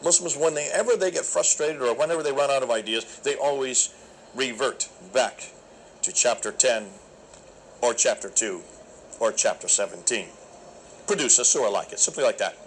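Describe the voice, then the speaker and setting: A man recounting a debate in an animated, emphatic, preacherly way, with a naturally loud voice. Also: mid-range voice, moderate pace, slight background noise, rough recording. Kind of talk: storytelling talk.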